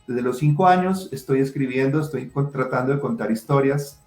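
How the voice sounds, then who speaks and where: A middle-aged writer answering interview questions passionately, with many restarts. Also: male voice, medium pitch, normal speed, a little mellow, faint music behind. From Colombia. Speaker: writer and guest. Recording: roomy echo.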